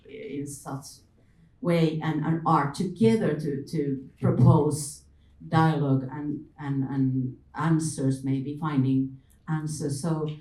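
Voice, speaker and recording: A woman with talks haltingly on a panel. Her voice is low and dark, heard at some distance from the mic in a reverberant room. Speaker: panel speaker. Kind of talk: hesitant panel discussion.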